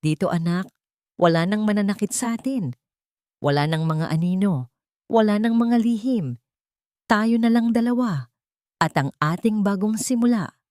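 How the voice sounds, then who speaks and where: A woman's voice: medium pitch, expressive, unhurried, pauses often, darkish tone, no room sound, studio quality, lightly acted. A woman voicing a weary, protective young mother in a story drama, earnest and soothing. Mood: tender promise.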